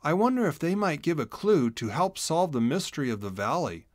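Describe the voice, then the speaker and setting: A man's voice: a little high, big pitch swings, brisk, dry recording, pitched above the performer's own voice. A man voicing a curious young hedgehog boy in a children's storybook, speaking in an earnest, emphatic way. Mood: thoughtful. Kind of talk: children's storybook read-aloud.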